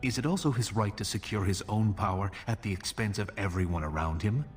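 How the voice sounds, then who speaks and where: An actor playing a scheming younger dwarf prince in a fantasy video game, measured, persuasive and conspiratorial. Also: male voice, lowish voice, faint music behind, natural voice. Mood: grave warning.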